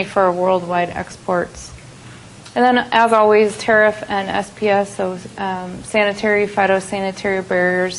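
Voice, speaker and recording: An American woman giving a businesslike conference talk, often pausing on "um". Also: mid-range voice, slight background noise, low-quality recording. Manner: businesslike, many "um" fillers. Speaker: trade presenter. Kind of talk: conference presentation. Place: conference hall at a trade expo.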